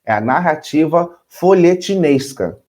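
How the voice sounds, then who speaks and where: A man's voice: fairly high pitch, lively intonation, unhurried, darkish tone. A Brazilian man co-hosting a casual chat, playful and jokey, reading facts and adding his own sound effects. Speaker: co-host.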